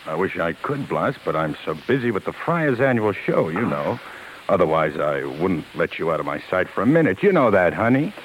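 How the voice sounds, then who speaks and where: An earnest, busy showman husband from a 1950s radio play. He speaks low, with a very lively swing in pitch and snappy timing. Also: normal speed, slight background noise, poor audio. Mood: concerned, apologetic.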